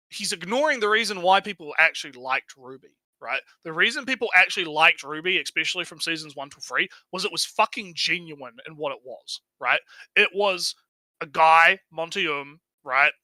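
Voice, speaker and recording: A man's voice: on the high side, expressive, normal speed, pushed and bright, no echo, poor audio. A man giving casual, unscripted stream commentary, rambling and swearing, often tagging his sentences with "right?". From outside the United States.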